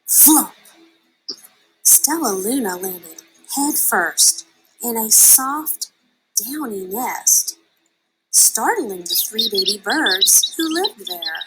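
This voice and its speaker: A woman reads a children's story aloud at a slow, careful pace. She draws out key words for drama, with faint music underneath. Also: mid-range voice, fairly bright tone, low-quality recording. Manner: unhurried, dramatic delivery with drawn-out words. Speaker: storybook reader.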